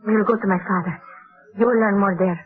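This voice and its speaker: A woman's voice: medium pitch, steady tone, fast, lightly acted. Woman playing serious young mexican girl, careful formal delivery, reserved, old muffled radio drama sound. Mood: earnest, anxious. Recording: dull lo-fi old radio recording.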